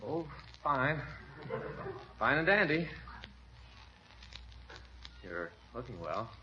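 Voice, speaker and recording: An actor playing a shy young man home from the navy, halting and tongue-tied, in a 1940s radio comedy. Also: mid-range voice, very animated, pushed and bright, a little hiss or hum, heavily acted. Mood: bashful. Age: young adult. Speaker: visiting suitor. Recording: dull 1940s radio broadcast.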